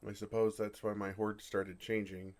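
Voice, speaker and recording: Man acting a low-voiced, flustered, smitten dragon. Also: level tone, lightly acted. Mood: tender, wistful. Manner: flustered, halting, earnest delivery. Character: bashful, smitten male dragon in human form. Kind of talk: ASMR romantic fantasy audio roleplay.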